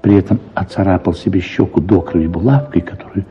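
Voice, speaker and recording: A man reading a first-person literary story for radio in an expressive, reflective manner, with drawn-out words and pauses. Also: low voice. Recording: slightly dull radio sound, music behind. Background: soft music.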